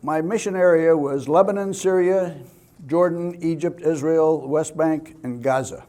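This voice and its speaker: An older man giving a security talk as an expert speaker, in deliberate, measured phrases with pauses. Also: fairly high pitch, mellow. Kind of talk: public training talk with personal anecdotes.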